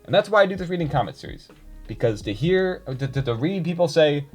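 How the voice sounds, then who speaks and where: A man's voice: fairly high pitch, sing-song, fast talker, soft background music. A young man chatting casually to his viewers, rambling with many fillers and restarts. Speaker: YouTuber reading comments.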